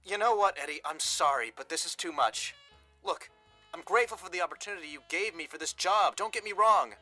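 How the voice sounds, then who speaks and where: Man playing an earnest, sharp-voiced young tough. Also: high voice, animated, fairly quick, soft background music, exaggerated voice. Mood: protesting, defiant. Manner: plain-spoken, earnest, pleading. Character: tough, earnest young male hired thug, devoted expectant father. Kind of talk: 1930s gangster radio drama acting.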